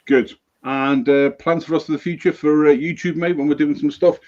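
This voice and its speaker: A man's voice: a little high, steady tone, moderate pace, a little mellow. A male show host chatting casually and upbeat on a live stream, calling people mate, heard off-screen over a remote feed. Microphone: remote audio feed, off-screen. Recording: lo-fi dull sound.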